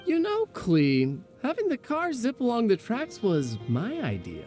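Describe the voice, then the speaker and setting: A man's voice: very high-pitched, wildly swooping pitch, a little slow, darkish tone, full character voice. A man playing a kindly, proud, brainy adventurer in a video game, with an earnest, reassuring delivery. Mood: boastful. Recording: music playing behind the voice.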